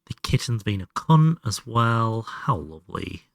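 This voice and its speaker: Low-voiced, sweary man streaming a game. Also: sing-song, unhurried, gravelly, no echo, studio quality. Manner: sweary, self-deprecating. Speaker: live streamer playing a video game. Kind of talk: casual live game commentary, chatting with viewers.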